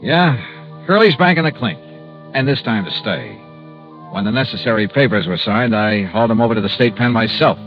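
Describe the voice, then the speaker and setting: Wry clipped radio detective, lively delivery, self-assured, muffled vintage sound. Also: male voice, fairly low pitch, normal speed, soft background music. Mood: brisk, wry. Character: wry, cool-headed male insurance investigator hero. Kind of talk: 1950s radio detective acting.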